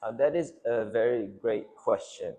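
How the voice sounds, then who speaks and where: A man's voice: mid-range voice, moderate pace, dark tone. A young man answers as a panelist in a student panel discussion, fluent and formal in a lecturing way.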